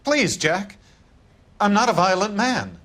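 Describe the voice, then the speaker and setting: An actor playing a smug, middle-aged, corrupt insurance vice president in a video game, haughty, wordy and condescending. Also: male voice, high-pitched, sing-song, takes it slowly, a bit bright, exaggerated voice. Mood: indignant. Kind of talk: dramatic video game acting.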